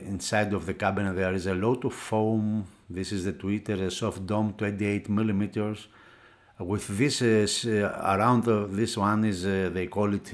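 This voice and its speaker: A man with a fairly low voice talks through a hands-on product demonstration. He is a non-native English speaker and speaks haltingly, often stopping to search for the next word. The delivery is unscripted reviewer commentary. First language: not English. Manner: halting, searching for words, with frequent hesitations. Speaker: product reviewer for an audio magazine.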